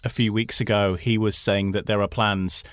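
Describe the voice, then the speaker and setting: A man's voice: on the low side, flat delivery, moderate pace, no echo, studio quality. A man hosting a radio interview in a conversational style, putting questions to a guest.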